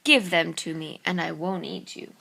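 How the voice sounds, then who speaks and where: A woman voicing a greedy, boastful storybook tiger, drawing her words out slowly and smugly. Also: fairly low pitch, vocal fry, bright, forceful tone, slight background noise, voice pushed down. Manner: slow, drawn-out and smug. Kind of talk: storybook read-aloud.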